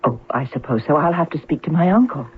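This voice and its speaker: A woman's voice: deep voice, lively intonation, fast, slightly rough, full character voice. An actress playing a sweet, demure young female android in a 1970s radio drama. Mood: demure, agreeable. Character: young female android, sweet, compliant, artlessly charming fiancee. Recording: tinny, noisy old radio recording with hum.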